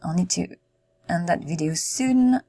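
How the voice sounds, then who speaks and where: Low gravelly woman rambling thru a sims build, slow, hoarse, lots of ums. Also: animated, dark tone. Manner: musing aloud, halting, frequent ums. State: losing her voice. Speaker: Sims content creator. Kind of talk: casual gameplay commentary while building.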